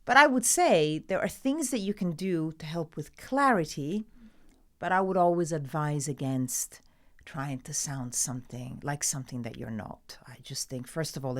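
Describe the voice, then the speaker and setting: A woman's voice: low-pitched, moderate pace. A middle-aged Italian woman, a newsreader guest, talking casually and emphatically with an Italian accent, often laughing. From Italy. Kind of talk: casual interview chat.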